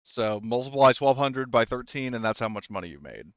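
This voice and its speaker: A man's voice: mid-range voice, animated, a little fast, a bit bright, clean tone, no echo, highs cut off. A man running a tabletop game in casual talk, rambling and hesitant as he corrects himself. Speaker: game master.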